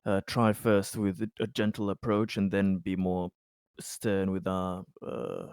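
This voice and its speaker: Male tabletop player, slowish and slightly low, casual, hesitant, dry recording. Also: level tone, a little mellow, smooth, no room sound. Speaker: player in a tabletop roleplaying game. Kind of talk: casual game-table chat.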